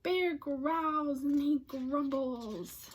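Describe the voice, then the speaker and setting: A woman reading a storybook aloud to children, expressively, often dropping to a hush and addressing her listeners. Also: high voice, drawn-out words. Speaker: storybook reader. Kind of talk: children's read-aloud narration.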